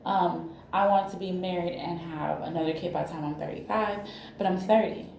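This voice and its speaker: A woman in her thirties, talking as a counseling client, halting and anxious as she searches for words. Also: on the low side, fairly even intonation, vocal fry, darkish tone, slight background noise. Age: 30s. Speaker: counseling client in a role-play. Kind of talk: emotional personal disclosure. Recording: echoey room recording.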